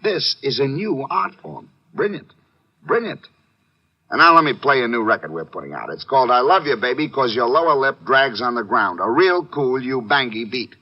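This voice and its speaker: Smug wealthy aesthete, grandiose, effusive, very animated mid-pitched voice, 1950s radio drama, dull vintage recording. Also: male voice, normal speed. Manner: effusive, grandiose, repeats words for emphasis. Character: rapturous, self-satisfied rich art-collecting songwriter.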